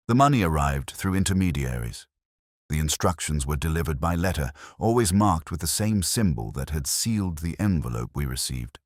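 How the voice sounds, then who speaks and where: A man narrating a scripted mystery story as an audiobook narrator, in a measured, solemn way. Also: extremely low pitch, dry recording, studio quality.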